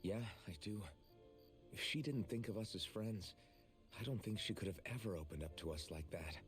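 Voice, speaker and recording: An actor playing an earnest, protective young hero in an anime video game, plain-spoken and sincere. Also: male voice, sits low, normal speed, soft background music, close to an everyday voice. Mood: reassuring.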